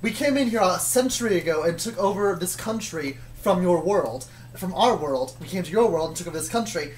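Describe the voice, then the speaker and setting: A stubborn soldier, played by a woman in a fairly low voice. The delivery is matter-of-fact and deadpan, rambling on argumentatively in an improvised comic story. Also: a little fast, lightly acted, low-quality recording. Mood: insistent.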